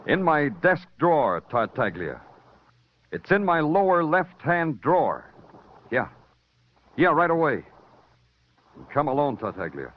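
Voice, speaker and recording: An actor playing a tough, sardonic police detective in a 1940s radio crime drama. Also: male voice, on the high side, big pitch swings, a little slow, long pauses. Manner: dry, sardonic, hard-boiled. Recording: dull lo-fi old radio recording.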